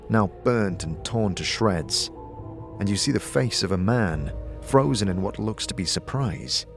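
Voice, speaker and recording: Low-voiced man narrating slowly over music. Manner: measured, dramatic storytelling. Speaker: narrator and game master. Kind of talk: scripted second-person fantasy narration. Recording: background music.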